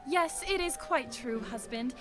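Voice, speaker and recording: An actress playing a sour, sharp-tongued, put-upon wife in a comic radio drama. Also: female voice, sits high, expressive, measured pace, music in the background. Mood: weary. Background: musical score.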